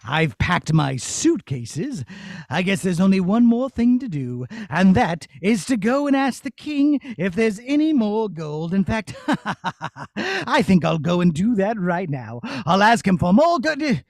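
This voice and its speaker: Blustering, creaky evil wizard. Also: male voice, high-pitched, very animated, measured pace, no echo, studio quality, pitched below the performer's own voice, heavily acted. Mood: gloating, scheming. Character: scheming, blustering evil male wizard, easily panicked. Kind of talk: puppet show for children.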